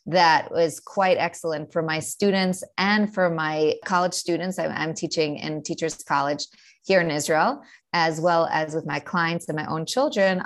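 A woman's voice: low-pitched, vocal fry. A woman in her forties with a New York accent, a guest explaining her work with confident, list-like answers in a podcast interview. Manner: confident, explanatory, speaks in long lists. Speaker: guest, educator and author.

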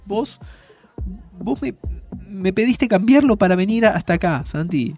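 Casting: wistful, needy Argentine friend in audio drama; higher voice, expressive range, halting delivery. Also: male voice, faint music behind, exaggerated voice. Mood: hurt, frustrated. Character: Martín, male time traveler, needy, wistful wedding guest. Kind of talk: naturalistic audio drama acting.

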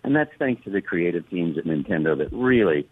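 A man, a voice actor, telling stories as an interview guest with warm enthusiasm and excited repetitions. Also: deep voice, fairly quick, slightly rough. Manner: warm, enthusiastic, repeats words when excited. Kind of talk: storytelling interview talk. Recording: dry, thin phone-like line.

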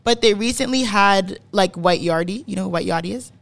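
A woman's voice: mid-range voice, brisk. A woman in her twenties from Canada, hosting a podcast in casual, opinionated talk, emphatic and swearing freely as she repeats words for stress. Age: 20s. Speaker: podcast host. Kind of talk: casual chat, opinionated explaining.

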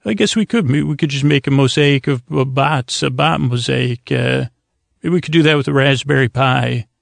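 Creaky, meandering man hosting a bedtime podcast. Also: mid-range voice, no room sound. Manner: meandering, long-winded, halting; gentle, friendly, lulling. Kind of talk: rambling soothing bedtime monologue.

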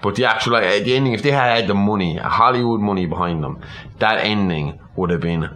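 Low-voiced man, rambling casual chat. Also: fairly quick, a bit bright. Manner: rambling, sweary, opinionated. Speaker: podcast co-host. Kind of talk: casual film and story chat.

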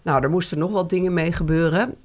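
A woman's voice: on the low side, fairly even intonation, quick pace, darkish tone. Chatty Dutch-raised woman telling a story. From Netherlands. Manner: chatty storyteller, many fillers and restarts. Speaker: podcast co-host. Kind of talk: casual chat.

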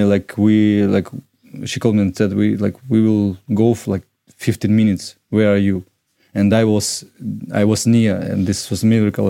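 Low, mellow Ukrainian man, halting English. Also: creaky. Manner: halting, searching for words in non-native English. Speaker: podcast guest. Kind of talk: conversational interview answers.

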